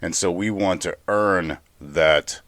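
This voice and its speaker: Man, low-pitched, dry recording, casual co-host, earnest, searching for words, repeats phrases. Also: slow, a bit bright, no room sound. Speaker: podcast co-host. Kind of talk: casual podcast discussion.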